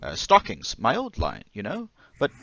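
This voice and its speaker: Man playing a nervous, animated, hesitant salesman. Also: on the low side, moderate pace, gravelly, heavily acted. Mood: flustered, anxious. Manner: hesitant, stammering, nervous chuckles. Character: nervous, apologetic middle-aged traveling salesman. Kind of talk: old-time radio drama acting. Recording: dull, lo-fi audio.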